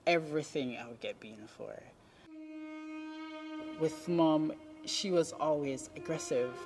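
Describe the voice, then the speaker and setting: A Jamaican-accented speaker tells a personal story at a slow pace. The voice sits at a middling pitch and moves in short, deliberate phrases. Music plays clearly underneath. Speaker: documentary subject telling own story.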